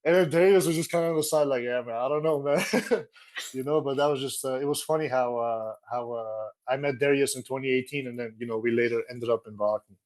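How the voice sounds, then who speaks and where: A young man from Los Angeles, a guest on a video call, telling stories in a relaxed way with plenty of "uh"s. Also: medium pitch, fast. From Los Angeles, USA. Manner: relaxed storytelling, with frequent fillers. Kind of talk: casual interview. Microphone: video call with earphone mic.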